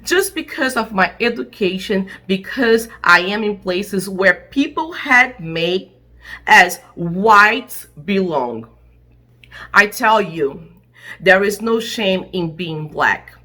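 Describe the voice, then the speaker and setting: A woman who is an attorney and an immigrant, giving an impassioned personal statement in English, her second language, in short, deliberate, emphatic phrases. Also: mid-range voice, expressive, bright, forceful tone. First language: not English.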